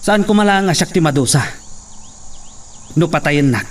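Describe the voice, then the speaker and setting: A man's voice: fairly high pitch, expressive, normal speed, lightly acted. A man with an Ilocano accent acting as a fearful, defensive worker in a radio drama. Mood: fearful, agitated. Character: fearful, defensive male worker threatened with death. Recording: distant mic, faint noise, crickets behind.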